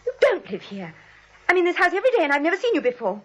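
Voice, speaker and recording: Very high-pitched frightened young woman. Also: huge pitch swings, very fast, heavily acted. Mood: suspicious, anxious. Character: spirited, frightened young woman, indignant neighbour. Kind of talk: 1940s radio drama acting.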